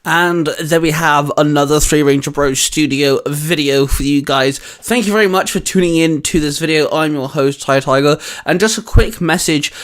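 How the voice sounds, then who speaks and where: A man's voice: a little high, normal speed, hardly any pauses, fairly bright tone. A man with an English accent hosting a casual, geeky chat, explaining things in a hesitant, rambling way. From England. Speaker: host of a fan discussion channel. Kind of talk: casual geeky discussion among friends.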